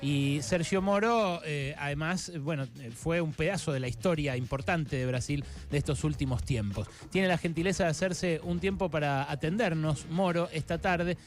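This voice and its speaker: A man hosting a radio interview, speaking haltingly with many filler sounds and restarts. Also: on the high side, faint music behind. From Argentina. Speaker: radio host.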